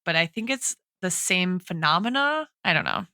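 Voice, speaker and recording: Bright, clear, low-pitched woman's voice. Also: very animated, moderate pace, dry recording, clean studio sound. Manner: tentative, academic phrasing. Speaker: podcast co-host. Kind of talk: analytical conversational discussion.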